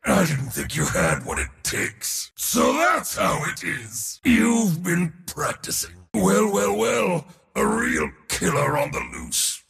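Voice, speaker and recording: An actor playing an arrogant, bombastic god of war in a video game, declaiming and gloating in a booming theatrical voice. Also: male voice, wildly swooping pitch, slow pace, vocal fry, hardly any pauses, a bit bright, in a large hall, full character voice. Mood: defiant outrage. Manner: booming, declamatory, gloating, short battle cries.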